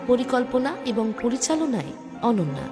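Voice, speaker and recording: A woman's voice: fairly high pitch, big pitch swings, moderate pace, music in the background. A woman reading a scripted introduction as presenter of an audio story channel.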